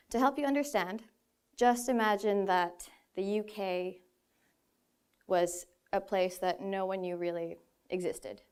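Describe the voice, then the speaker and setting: A woman's voice: medium pitch, a little mellow, clean tone. A woman in her twenties from the Philippines, giving a prepared stage talk in an earnest way with a few light laughs at herself. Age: 20s. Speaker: speaker. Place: stage in a large hall.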